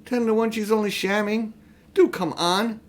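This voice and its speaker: Man playing a bossy, high-pitched little girl. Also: fairly even intonation, moderate pace, lightly acted. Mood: spiteful, impatient. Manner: sharp-tongued, commanding. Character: bossy, spiteful, impatient young girl.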